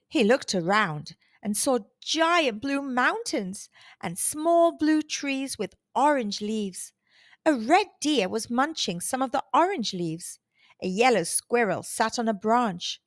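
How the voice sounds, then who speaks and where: A woman hosting a children's storytime, reading aloud expressively with deliberate, dramatic emphasis. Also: fairly high pitch, no room sound, clean studio sound. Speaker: children's storytime host and narrator.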